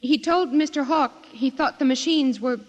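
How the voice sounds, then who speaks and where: An actress in a 1950s radio drama playing an excitable secretary testifying as a witness. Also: female voice, high-pitched, normal speed, natural voice. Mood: agitated, earnest. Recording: echoing hall, dull noisy old radio.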